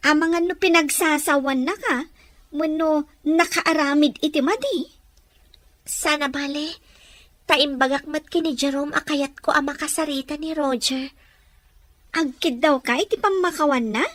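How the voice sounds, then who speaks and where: A woman's voice: high-pitched, moderate pace, long pauses, fairly bright tone, dry recording. An actress with a Filipino accent playing a young woman in an emotional drama, hot-tempered but remorseful and wounded in love. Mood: regretful. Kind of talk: emotional audio drama acting.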